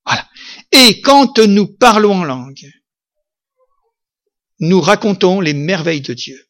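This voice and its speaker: A man preaching a sermon with emphasis, lifting his voice to loud peaks and then dropping it low. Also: sing-song, slow pace. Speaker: pastor.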